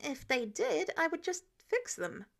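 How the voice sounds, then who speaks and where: A woman voicing a cheeky, sarcastic teenage boy superhero with quick, indignant retorts in an audiobook story. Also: high voice, very animated, vocal fry, pitched above the performer's own voice, heavily acted. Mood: sassy, impatient.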